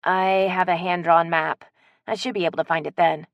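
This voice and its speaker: A woman's voice: fairly low pitch, level tone, moderate pace, no room sound, lo-fi sound, lightly acted. A woman voicing a polite, subdued city woman.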